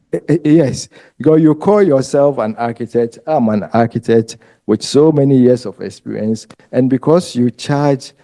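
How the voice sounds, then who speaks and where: A man with a Ghanaian English accent gives a relaxed lecture to a room of candidates. His voice is mellow and his pace slow. He talks conversationally and often pauses with ums. The recording is dry and close. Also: medium pitch, dry recording. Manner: unhurried, conversational, trails off with ums.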